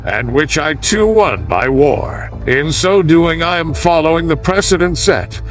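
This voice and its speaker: A man reading a classical history as an audiobook narrator, in a formal, measured style. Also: a little high, expressive, soft background music.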